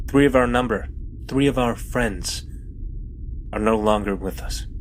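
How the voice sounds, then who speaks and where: A man's voice: on the low side, a little hiss or hum, lightly acted, low-quality recording. An actor voicing an earnest, idealistic male robot captain who addresses his crew formally. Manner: formal, solemn speech-making. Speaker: ship's captain. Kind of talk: fan audio drama acting.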